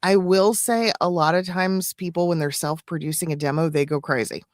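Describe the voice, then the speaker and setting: A woman's voice: on the low side, steady tone, moderate pace, no echo, clean studio sound. A woman co-hosting a live stream as a voice-over coach, giving casual advice in an explanatory, emphatic way. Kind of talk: casual advisory chat.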